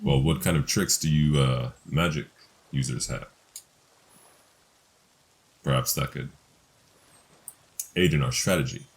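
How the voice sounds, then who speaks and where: A man's voice: very deep voice, measured pace, long pauses. A man playing a tabletop fantasy game in character, speaking in solemn, formal phrases. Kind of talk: in-character roleplay. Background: background music.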